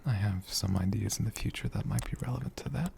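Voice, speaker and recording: A performer voicing a gentle, affectionate dragon healer in a soft, breathy ASMR whisper, slow and soothing with tender, lingering pauses. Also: sits low, noisy background. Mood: gentle, reassuring. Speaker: ASMR creator.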